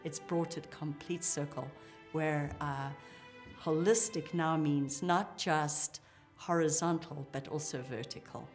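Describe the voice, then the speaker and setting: A middle-aged woman talks in a notably low voice. She sounds articulate and enthusiastic as a psychologist describing her work. Faint music runs behind her. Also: fairly even intonation, slow. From Zimbabwe. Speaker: psychologist, clinic co-founder. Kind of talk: interview account.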